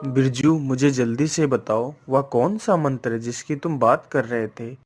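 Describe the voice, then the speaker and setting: A man's voice: medium pitch, measured pace, music in the background, lightly acted. A man voicing a stern, authoritative figure of wealth and rank in a Hindi moral-story cartoon, with a commanding manner. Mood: eager, demanding.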